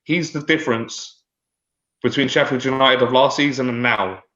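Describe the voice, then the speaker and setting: A man's voice: medium pitch, moderate pace, sharp tone. A man giving his views in a casual football chat, hesitant and often starting over. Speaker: guest pundit. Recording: dull roomy remote line.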